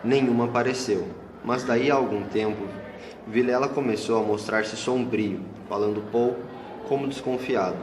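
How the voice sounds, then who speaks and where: The recording echoes heavily and has clear background noise. A man with a medium-pitched voice reads scripted literary narration for an amateur radio play. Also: moderate pace.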